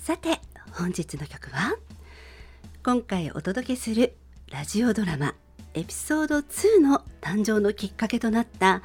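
A woman's voice: medium pitch, a little mellow. A middle-aged woman hosting a radio show, introducing a song in a polite, conversational monologue addressed to listeners. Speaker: radio show host and presenter. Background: music.

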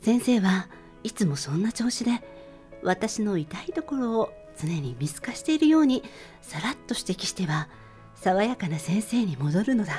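A woman playing a weary office worker who narrates memories of her schooldays softly and wistfully in a radio drama. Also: medium pitch, sing-song, normal speed, faint music behind, natural voice. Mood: wistful, tender.

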